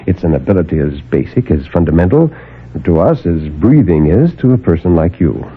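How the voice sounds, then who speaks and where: An actor playing a calm, superior superhuman guardian, speaking with measured, lofty condescension in a 1950s radio drama. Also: male voice, low-pitched, slightly rough, exaggerated voice. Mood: calm, condescending. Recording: narrowband old AM radio recording.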